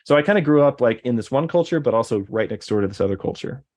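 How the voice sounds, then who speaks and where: A man's voice: medium pitch, expressive, quick pace, darkish tone. A man in casual conversation, rambling, with many fillers and restarts. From American Deep South. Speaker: guest.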